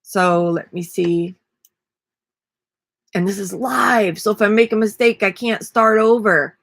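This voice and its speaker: A woman in her forties with a raspy voice, chatting casually and rambling on a live stream from a small camper. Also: mid-range voice, unhurried, dry recording, clean studio sound. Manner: relaxed, rambling, self-deprecating. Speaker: vlogger and ukulele player. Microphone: webcam at desk.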